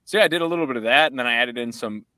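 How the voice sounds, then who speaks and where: A man's voice: medium pitch, very fast, bright, forceful tone, clear, resonant tone, no echo. A man, a musician guest, chatting casually and rambling upbeat about making a song. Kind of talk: casual chat.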